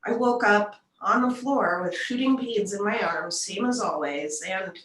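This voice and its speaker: A woman's voice: mid-range voice, measured pace, fairly bright tone, close to an everyday voice. A woman acting a frightened, defensive alien abductee in a script table read, on a roomy, distant microphone. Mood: haunted, confiding. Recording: roomy, distant mic, noisy line.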